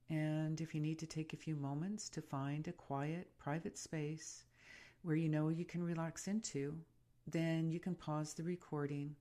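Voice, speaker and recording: A woman guiding a meditation in a soothing, unhurried and gently instructive way. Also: sits low. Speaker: meditation guide and podcast host. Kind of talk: guided meditation narration.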